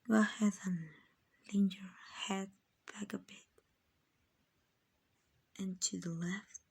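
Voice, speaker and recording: Slow, breathy, genteel vampire lady played by a woman. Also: mid-range voice, level tone, heavily acted. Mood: soothing, coaxing. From Mexico. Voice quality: soft, breathy, often dropping to a whisper. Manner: gentle, coaxing, slow and reassuring. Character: kind, doting, genteel female vampire owner, soothing and possessive. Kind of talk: ASMR roleplay.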